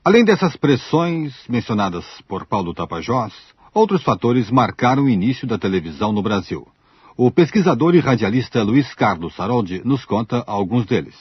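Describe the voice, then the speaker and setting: Brazilian man, scripted documentary narration, animated intonation, dry studio sound, dull radio recording. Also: medium pitch, normal speed, slightly rough, no room sound.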